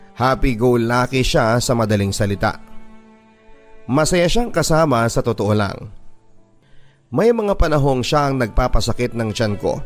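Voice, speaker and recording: Measured Filipino male letter narrator over music. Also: medium pitch. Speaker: radio host reading a listener's letter. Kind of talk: slow dramatic scripted narration of a letter. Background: background music.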